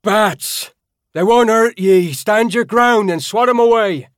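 A man playing a snarling rough brawler barking orders. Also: medium pitch, measured pace, no echo, clean studio sound. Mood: bellowing. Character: gruff bellowing male brawler, rough commander. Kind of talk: audiobook character voice.

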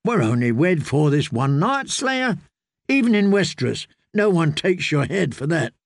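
Audiobook role: drunken young singer, played by a man, high, boisterous, cocky, teasing. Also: big pitch swings, moderate pace, creaky, no room sound, clean studio sound, exaggerated voice. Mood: mocking, merry.

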